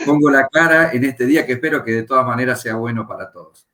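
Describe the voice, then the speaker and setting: A middle-aged Argentine man, a pastor and Bible teacher, calmly telling his life story as a guest in an interview. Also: medium pitch, crowd noise. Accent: Argentine Spanish. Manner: unhurried, reflective, pauses with fillers. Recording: echoey room.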